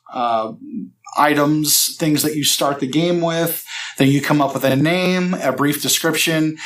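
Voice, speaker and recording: A man's voice: a little high, fairly bright tone. A man acting as game master, explaining tabletop game rules to a group around a table. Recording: roomy echo.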